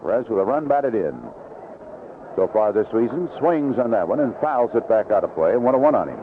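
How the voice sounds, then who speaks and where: A tinny, narrow old radio broadcast with heavy noise carries a man's baseball play-by-play. His voice is medium in pitch. He describes the field in an unhurried way and lifts his delivery when the ball is in play, in the manner of 1970s sports radio. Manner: unhurried description between plays, rising excitedly on action. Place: outdoor ballpark broadcast booth.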